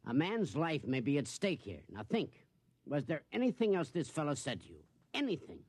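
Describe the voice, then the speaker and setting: An actor playing a harried, earnest company official with a formal manner in a 1950s radio drama. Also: male voice, a little high, huge pitch swings, moderate pace, a little mellow, husky, heavily acted. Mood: exasperated, urgent. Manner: urgent, exasperated, formal.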